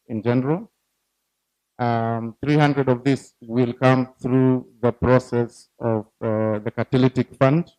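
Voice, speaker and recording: A man, a program team leader, giving a formal presentation at a donor event, speaking haltingly with many fillers and a volume that drifts up and down. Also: medium pitch, a little mellow, no room sound, low-quality recording.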